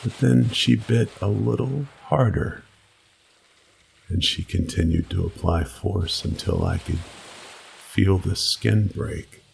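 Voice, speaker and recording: A man narrating a scripted first-person horror story with deliberate, dramatic pauses. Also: low voice, gravelly, a little hiss or hum, low-quality recording.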